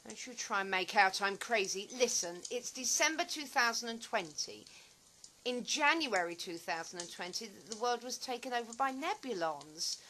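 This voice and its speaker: An actress playing an eccentric, nervous former actress in a dystopian audio play, rambling with theatrical emphasis. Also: female voice, medium pitch, sharp tone, a little hiss or hum, rough recording. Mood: insistent, explaining.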